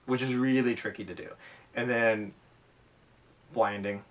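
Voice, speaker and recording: A man co-hosting a hobby podcast, chatting casually and haltingly about his gear with enthusiasm. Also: medium pitch, steady tone. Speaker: podcast co-host. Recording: distant from the microphone.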